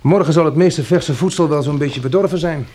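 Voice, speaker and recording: An actor playing a practical, wry survivor of a catastrophe in a radio drama, matter-of-fact and dryly ironic. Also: male voice, on the high side, brisk, a little mellow, lightly acted. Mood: light, dry.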